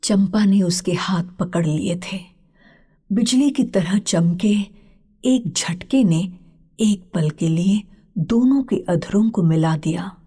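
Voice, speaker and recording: A woman narrating a literary story in a measured, breathy way. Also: mid-range voice, warm tone.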